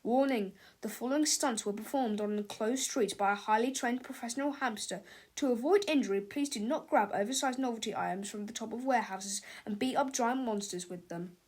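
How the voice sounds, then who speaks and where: High-voiced woman reading a storybook aloud. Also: brisk, darkish tone. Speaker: storybook narrator. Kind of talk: expressive children's read-aloud.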